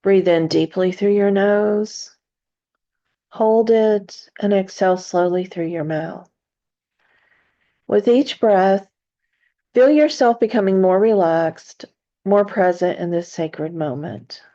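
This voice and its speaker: A woman hosts a guided meditation at a very unhurried pace. Her voice is mellow and dark, with gentle, deliberate phrasing and long pauses between thoughts. The recording sounds slightly dull and muffled. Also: mid-range voice. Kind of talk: slow, soothing guided meditation narration.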